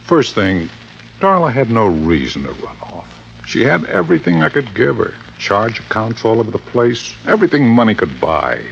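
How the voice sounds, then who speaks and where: An actor playing a middle-aged, wealthy father, gruff and defensive, brusque and insistent, in an old-time radio drama. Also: fairly low pitch, very animated, moderate pace. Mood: certain, insistent. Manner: brusque, insistent, plain-spoken. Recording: dull, faintly noisy vintage radio recording.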